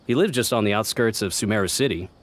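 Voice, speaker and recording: An actor playing a plain-spoken, mildly concerned office employee in a video game. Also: male voice, fairly low pitch, fast talker, close to an everyday voice. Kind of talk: video game character dialogue. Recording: music behind voice.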